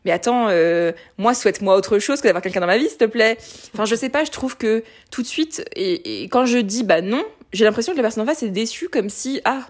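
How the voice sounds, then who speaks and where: A woman in her late twenties talking candidly in a casual conversation, lively, with many fillers and restarts. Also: fairly high pitch, a little fast. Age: late 20s.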